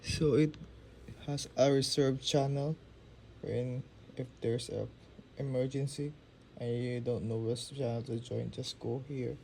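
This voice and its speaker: Slow-talking man with a creaky voice. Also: medium pitch, steady tone, a little mellow, a little hiss or hum, rough recording. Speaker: app reviewer demonstrating a phone app. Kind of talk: casual tech demo narration.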